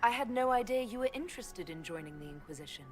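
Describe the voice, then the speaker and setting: A woman playing a composed, direct heroine and leader in a fantasy video game, speaking in a measured way. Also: fairly high pitch, animated, faint music behind, lightly acted. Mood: questioning, composed.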